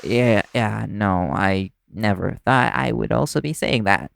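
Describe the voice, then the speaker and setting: A man acting in an audio roleplay as a nervous, caring young vampire's human partner, speaking in a halting, stammering way. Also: lowish voice, vocal fry, a bit bright, exaggerated voice. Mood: overwhelmed, earnest.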